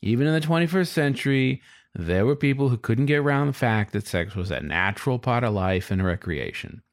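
A man's voice: medium pitch, lively intonation, fairly quick, close to an everyday voice. A man voicing a sly, frustrated male fox who narrates his story in a wry, confiding, colloquial way, as in an audiobook.

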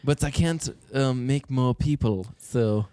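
A man's voice: mid-range voice, slow, mellow, smooth, no echo, studio quality. A man co-hosting a comedy podcast, joking loosely and laughing often as he riffs on silly voices. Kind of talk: casual comedic chat.

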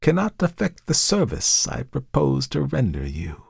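A male reader puts on a devilish visitant from a literary storybook, uncannily courteous. The speech is measured, pleasant and calm, with a kindly severity. It is recorded very dry. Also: medium pitch, gravelly, husky, no room sound, voice pushed up, full character voice. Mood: knowing, severe. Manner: polite, measured, pleasant and unhurried. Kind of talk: dramatized literary storybook reading.

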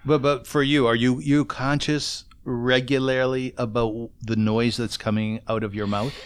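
A man's voice: mid-range voice, level tone, measured pace, smooth. A man hosting a casual, conversational podcast interview and putting questions to his guest.

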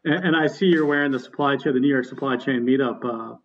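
A man's voice: mid-range voice, a little hiss or hum. Man interviewing on a dull, lo-fi line. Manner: measured, polite questions with fillers. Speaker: podcast host, interviewer. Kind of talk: interview hosting.

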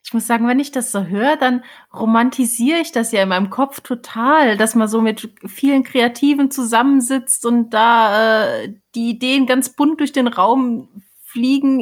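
A woman's voice: on the high side, moderate pace. A woman chatting casually as the co-host of a book podcast. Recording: music playing behind voice. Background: music.

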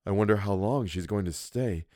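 A man reading a story and voicing a blunt young woman who speaks in blank, flat asides. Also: medium pitch, a little slow, husky, no room sound, studio quality. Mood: deadpan.

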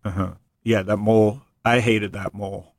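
A man's voice: on the low side, flat delivery, no room sound, clean studio sound. Joking, rambling male podcast host. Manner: loose, joking, rambling with quick asides. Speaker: podcast host and venture investor. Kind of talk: casual finance podcast chat.

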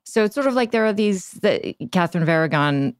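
A woman's voice: lowish voice, animated, fairly quick, clean tone, no room sound, studio quality. A woman hosting a podcast, musing casually and a little tentatively in conversation. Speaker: podcast host.

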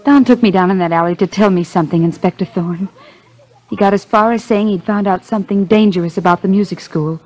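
An actress playing a defiant but frightened blues singer in a 1950s detective drama. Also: female voice, mid-range voice, brisk, darkish tone, close to an everyday voice, rough recording. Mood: frightened, earnest.

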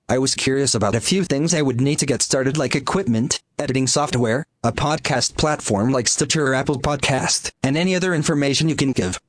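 A man's voice: mid-range voice, no echo. Young man, listener, reading prepared message, formal, dry close sound. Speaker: listener calling in.

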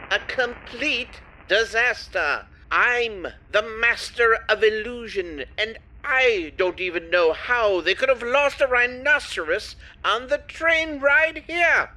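A man's voice: very high voice, pushed and bright, exaggerated voice, rough recording. A man playing a proud, exasperated stage magician in an audio drama, theatrical and indignant in manner.

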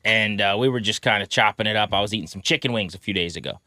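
A man hosting a sports podcast with conversational commentary and deliberate, emphatic phrasing. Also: fairly low pitch, bright, forceful tone, no echo, clean studio sound.

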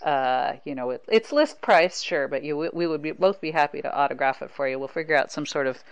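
A woman's voice: low voice, a little fast, clean tone, dry recording. A woman with an American accent, hosting a sports podcast and talking casually as a reporter. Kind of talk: casual conversational sports talk.

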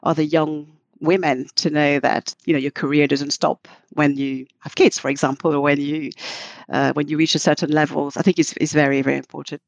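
A woman's voice: low-pitched, level tone, creaky, dry recording. A middle-aged woman, not a native English speaker, talking thoughtfully as a podcast interview guest and often restarting her sentences. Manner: thoughtful, halting with frequent restarts. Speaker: guest, marketing executive.

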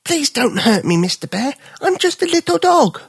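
A storyteller voicing a small old male dog who is polite, gentle and sad. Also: high voice, very animated, moderate pace, gravelly, dry recording, clean studio sound, pitched above the performer's own voice, heavily acted. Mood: wistful. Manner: polite, wistful.

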